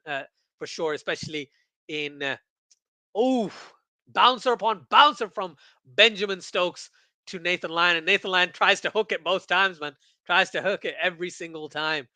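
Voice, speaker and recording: Male livestream cricket host from Pakistan, high and bright voice, close dry sound, excitable casual chat. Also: no room sound. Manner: excitable, repeats phrases for emphasis. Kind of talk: casual watchalong chat, reading viewer comments aloud.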